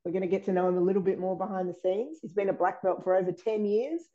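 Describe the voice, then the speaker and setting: A woman's voice: mid-range voice, quick pace, warm tone, lo-fi sound. A woman hosting a podcast, introducing her guest in a casual, chatty way. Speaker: podcast host.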